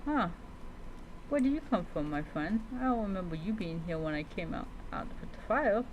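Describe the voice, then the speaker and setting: A woman streamer role-playing in character as a dragon rider in a game, speaking haltingly and repeating words. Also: fairly low pitch, expressive, muffled recording, slight background noise. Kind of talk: in-character game roleplay storytelling, talking to pet creatures.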